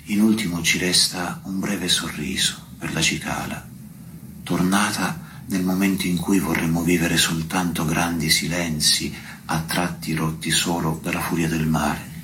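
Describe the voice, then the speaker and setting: A man with a very low voice reads poetry gravely and evenly, barely varying his pitch. He takes his time, pausing between phrases, over clearly audible background noise. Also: level tone. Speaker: poetry reader at a tribute recital. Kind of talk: slow poetry recitation.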